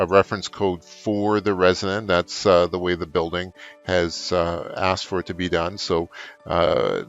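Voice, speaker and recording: A middle-aged man explains software step by step as an unscripted tutorial, with frequent hesitant pauses. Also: low-pitched, lo-fi sound. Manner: halting, frequent filled pauses. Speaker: trainer, tutorial presenter. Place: office room indoors.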